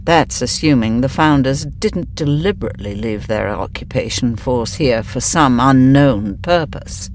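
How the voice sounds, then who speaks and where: A man's voice: medium pitch, lively intonation, a little slow, hardly any pauses, a little hiss or hum, close to an everyday voice. A man playing a cautious starship officer in a sci-fi audio drama, precise and formal. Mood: cautious, businesslike.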